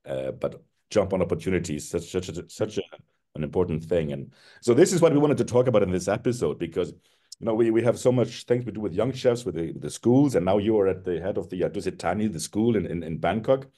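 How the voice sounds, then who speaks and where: Low, mellow-voiced man hosting. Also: lively intonation. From Iceland. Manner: halting, many restarts and fillers. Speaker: podcast host. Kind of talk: casual interview chat.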